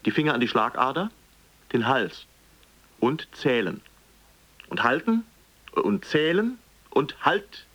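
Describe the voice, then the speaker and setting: A man's voice: mid-range voice, big pitch swings, slow pace, pauses often, fairly bright tone, heavily acted. Commanding, swooping male fitness coach. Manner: clipped, instructional, slogan-spouting. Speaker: fitness trainer. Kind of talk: audio drama, chanted workout commands.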